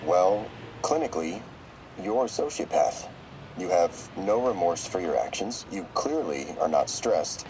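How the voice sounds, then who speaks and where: A shrewd therapist in a game audio log, with a low voice. He is clinical, measured and probing, quietly calculating. Faint noise sits behind him. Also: expressive, rough recording.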